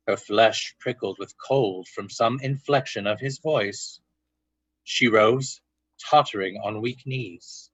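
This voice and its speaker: A man's voice: on the low side, fairly bright tone, no room sound, highs cut off. A man giving dramatic scripted narration in the style of an old-time radio drama.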